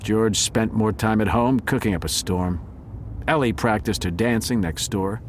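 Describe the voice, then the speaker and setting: Low-voiced, smug cat detective played by a man. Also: moderate pace, a little hiss or hum, natural voice. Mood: wry narration. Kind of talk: hard-boiled detective narration in audio drama.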